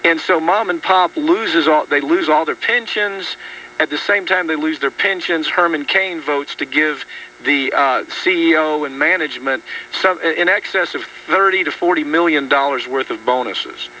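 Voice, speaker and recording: A man's voice: fairly high pitch, normal speed, bright, forceful tone. A man hosting a political talk radio show, talking indignantly and often restarting his sentences. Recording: dull distant radio broadcast with faint hum.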